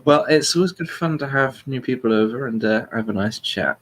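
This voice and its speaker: A man's voice: mid-range voice, lively intonation, brisk, a bit bright. A man hosting a casual, humorous chat in a wry, jokey manner. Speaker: host.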